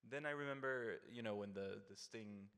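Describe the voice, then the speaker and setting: Peru-raised male panel guest, low gravelly voice, hesitant and reflective, hall reverb. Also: normal speed, fairly bright tone. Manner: hesitant, restarts often, reflective. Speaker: early music performer, guest. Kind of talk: panel interview answers. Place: concert hall stage, live event. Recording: long reverb of a concert hall.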